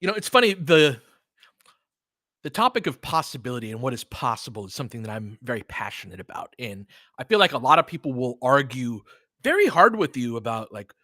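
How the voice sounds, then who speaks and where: An American man gives conversational sports analysis in a very dry recording with little room sound. His pitch sits in the middle range for a man. He thinks aloud as he talks to listeners, leaning on words for emphasis. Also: sing-song, a little fast, bright, forceful tone, dry recording. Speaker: sports show host and game commentator. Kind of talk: casual live basketball analysis, chatting with listeners.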